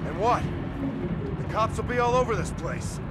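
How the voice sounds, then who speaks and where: Blunt, distrustful hard-bitten criminal. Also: male voice, high voice, animated, normal speed, heavy background noise. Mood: wary. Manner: blunt, accusing, profane. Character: grim, distrustful, hard-bitten middle-aged male criminal partner. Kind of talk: video game cutscene acting.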